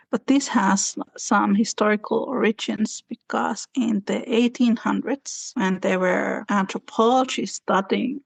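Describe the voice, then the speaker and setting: A woman's voice: mid-range voice, gravelly, breathy edge, no echo. A woman in her thirties from Finland with a Finnish accent, explaining at length as an author in an interview, with small slips and restarts. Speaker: author and guest. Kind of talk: conversational interview.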